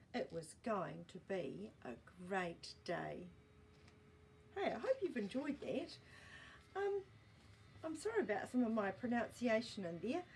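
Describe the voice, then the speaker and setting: A woman's voice: medium pitch, a little mellow, a little hiss or hum. A woman reading a children's story expressively, with playful asides to listeners.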